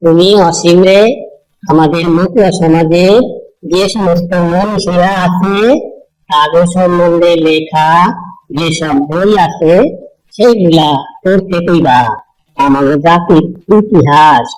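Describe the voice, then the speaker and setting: A man playing an instructive village holy man in a cartoon, explaining things deliberately in a Bangladeshi Bengali dialect. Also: on the high side, steady tone, darkish tone, low-quality recording. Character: visiting village holy man, elder Matua preacher, patient teacher.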